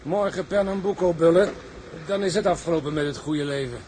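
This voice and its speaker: Man playing a hearty sailor in an old sea drama, highish voice, bit of background noise. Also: brisk, darkish tone, lightly acted. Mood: hearty, contented. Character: hearty, pleasure-loving male tugboat sailor. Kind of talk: Dutch period seafaring drama acting.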